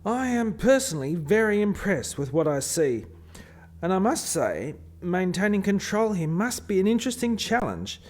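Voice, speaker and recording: A man as a high-voiced, courteous visiting scientist. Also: sing-song, moderate pace. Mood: courteous, impressed. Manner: courteous, formal. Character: polite, diplomatic male visiting alien scientist. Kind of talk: radio play acting.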